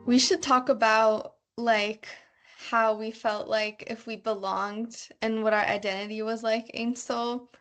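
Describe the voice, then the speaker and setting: A woman's voice: a little high, flat delivery, unhurried, soft background music. A young woman, a student abroad, chatting casually about daily life, with many fillers and "like"s. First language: bilingual. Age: young adult. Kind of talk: casual conversation.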